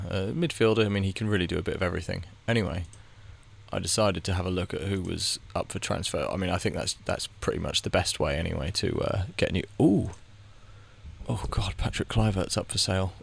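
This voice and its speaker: A man with a low voice and a gravelly edge talks through a game as he plays it. He rambles and mutters his reactions out loud, often stopping to restart a sentence. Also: fairly quick. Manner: thinks aloud, muttering reactions to the screen. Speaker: gaming video creator. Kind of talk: casual commentary while playing a game.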